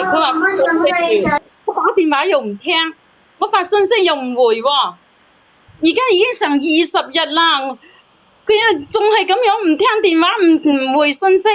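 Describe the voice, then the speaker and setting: A woman's voice: very high-pitched, a bit bright. A woman calling in to tell her own story, pleading and defensive as she asks for help. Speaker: caller seeking relationship help. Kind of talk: unscripted personal account.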